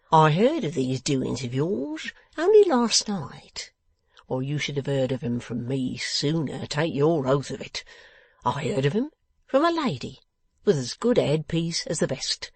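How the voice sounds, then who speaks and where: A woman voicing a blustering, contemptuous older rich man in an audiobook, loud, mocking and emphatic. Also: sits low, husky, no room sound, exaggerated voice. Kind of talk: dramatised audiobook reading.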